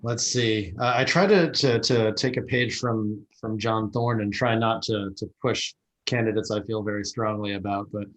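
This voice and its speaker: A man speaking casually as a guest on a video call, thinking aloud in halting, unfinished phrases. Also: fairly low pitch, fairly even intonation, rough recording. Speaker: guest speaker, baseball history researcher. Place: remote video meeting. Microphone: video call microphone.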